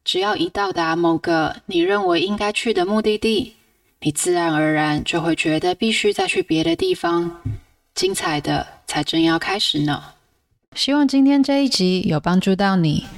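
A woman hosting a podcast, reading a scripted book review in a measured, reflective storytelling way. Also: mid-range voice, big pitch swings, gravelly, no echo, clean studio sound, recorded from a distance. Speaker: podcast host.

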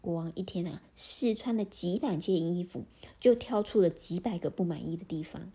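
Lady reading a bedtime-ish story to kids, super breathy, soft mellow tone. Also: fairly low pitch, moderate pace. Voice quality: breathy, often half-whispered. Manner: gentle, animated, addresses children. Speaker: storyteller for children. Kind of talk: children's story narration.

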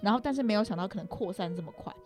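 Young woman, Taiwanese Mandarin accent, casual storytelling, rambling, self-deprecating, rapid pace, faint background music. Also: mid-range voice, lively intonation, darkish tone. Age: young adult. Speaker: podcast guest.

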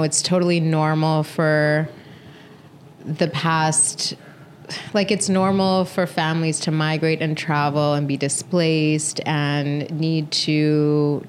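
A woman from Norway, founder of an education group, answering interview questions in a thoughtful, measured way. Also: low-pitched, slight background noise. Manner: thoughtful, measured, uses "um" and "you know". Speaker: guest, founder of an education organization.

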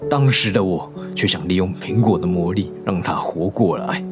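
An actor playing a regretful middle-aged father and former gambler in a radio drama, speaking heavily and deliberately. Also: low-pitched, natural voice. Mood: bitter regret. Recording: dramatic music behind the voice.

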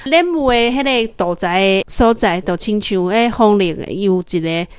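A woman's voice: mid-range voice, lively intonation, moderate pace, smooth, dry recording. A woman with a Taiwanese accent chatting casually with a young child, explaining things simply and asking questions. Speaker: host of a children's segment, talking with a young child. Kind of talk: casual parent-child chat about a picture book.

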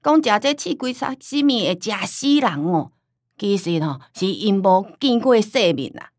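Old Taiwanese granny, forgetful, stubborn, nostalgic, mid-pitched, radio play, dry studio sound. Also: female voice, lively intonation, measured pace, no echo. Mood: animated, chatty. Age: older. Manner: rambling, folksy storytelling with sighing interjections. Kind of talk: radio drama acting in Taiwanese Hokkien.

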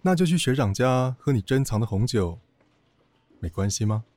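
A man playing a stoic, devoted, quietly teasing younger police partner, deadpan and softly spoken, in an audio drama. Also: mid-range voice, moderate pace, dry recording, natural voice. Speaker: voice actor.

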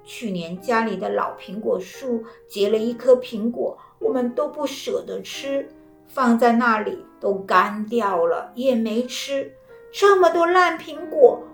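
A woman's voice: on the high side, lively intonation, measured pace, gravelly, fairly bright tone, full character voice. Woman doing a cheerful, proud old man. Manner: proud, easygoing, chuckling. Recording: roomy, with faint music.

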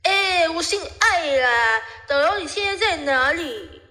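A woman playing a pushy, scatterbrained tour passenger in an audio drama, calling out insistently in a drawn-out way. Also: very high-pitched, expressive, pushed and bright, exaggerated voice. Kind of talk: amateur audio drama acting. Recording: echoey hall sound.

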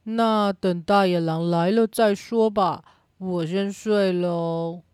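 A woman's voice: mid-range voice, steady tone, slow, a little mellow, clear, resonant tone, no room sound, clean studio sound, lightly acted. A woman voicing a lazy, stubborn pig brother in a children's radio play, with a drawling, dismissive manner. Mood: drowsy, stubborn.

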